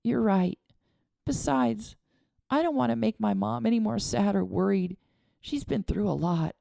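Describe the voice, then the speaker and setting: A woman's voice: mid-range voice, unhurried, vocal fry, dark tone, no echo, clean studio sound, pitched above the performer's own voice. A woman voicing a grieving young girl who stammers and whimpers through tears. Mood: calming.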